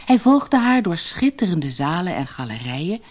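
A woman narrating a fairy tale for an audiobook in an expressive, dramatic, scripted storytelling style. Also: on the low side, moderate pace.

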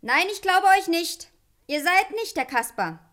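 An actress playing a wary, stubborn young princess in a fairy-tale radio play, pert and suspicious in manner. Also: female voice, very high voice, expressive, a little slow, a bit bright, heavily acted.

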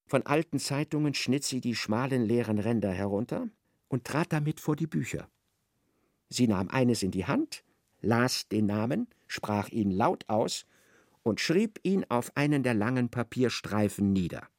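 An actor playing the precise, articulate narrator of a literary radio drama. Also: male voice, mid-range voice, lively intonation, measured pace, a little mellow, dry recording, clean studio sound. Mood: precise, wry. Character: precise, observant third-person male narrator of a novel.

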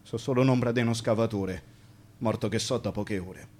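An actor with a Roman accent playing the colloquial ghost of a railway digger killed at work, in Italian radio theatre. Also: male voice, on the low side, a little fast, darkish tone, lightly acted. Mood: apologetic, subdued. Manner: colloquial, plain-spoken. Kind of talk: Italian radio theatre acting in verse-like dialect dialogue.

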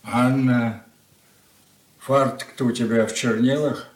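An actor playing a gruff, domineering older merchant father in a radio drama, blunt and scolding. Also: mid-range voice, fairly even intonation, slow, lightly acted. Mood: stern, paternal. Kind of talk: Soviet radio drama stage acting. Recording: roomy echoing studio radio-play recording.

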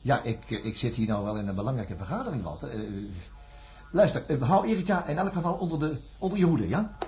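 An actor playing an anxious, conscientious doctor in a science fiction radio drama, with a grave delivery that turns halting under strain. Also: male voice, mid-range voice, animated, a little mellow, close to an everyday voice. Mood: flustered, evasive. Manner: grave, halting when agitated. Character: anxious, conscientious male doctor narrating a disaster.

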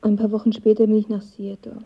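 Vulnerable young woman, radio drama, breathy, hushed, confiding, slightly muffled sound. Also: mid-range voice, completely flat delivery, fast. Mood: hurt, confiding. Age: young adult. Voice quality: breathy, husky. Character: guarded, vulnerable young woman, the narrator's first love.

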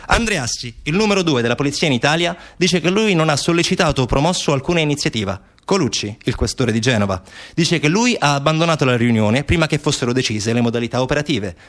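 A man's voice: fairly high pitch, lively intonation, fast talker, a bit bright. A man performing a theatrical narrative monologue as a stage actor, with an ironic, questioning manner. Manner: rhetorical questions, ironic, acting out quoted replies.

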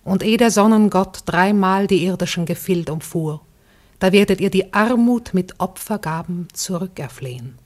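A woman's voice: fairly low pitch, a little mellow. A woman playing Poverty as a solemn, ominous spirit in a radio play, declaiming like a prophetess. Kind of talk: German radio play acting of classical drama.

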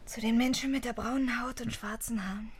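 A tender young wife in a radio drama. Her voice is rather high and breathy, confiding and full of gentle worry. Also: fairly even intonation, fairly quick. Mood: tender, worried. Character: tender, worried young wife of a dike master. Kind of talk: intimate radio drama acting.

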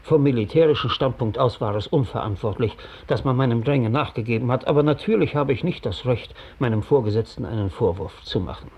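Husky, bitter captured spy. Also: male voice, medium pitch, a little mellow. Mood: measured, bitter. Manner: deliberate, precise, coolly ironic. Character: bitter, analytical captured British spy giving testimony. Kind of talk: 1960s German radio drama monologue.

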